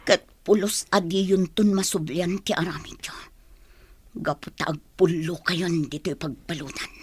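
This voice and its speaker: A woman's voice: on the low side, fairly even intonation, measured pace, slight background noise, natural voice. A woman with an Ilocano Filipino accent playing a wronged, sorrowful mother in an emotional radio drama. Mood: bitter, stern. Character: wronged, sorrowful mother newly freed from prison.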